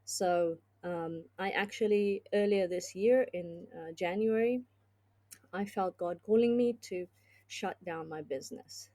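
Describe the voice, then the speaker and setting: A woman's voice: on the low side, unhurried, mellow. A middle-aged woman from South Africa with a South African accent and an Australian twang, talking through her career as an interview guest in a thoughtful, conversational way. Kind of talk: casual interview talk.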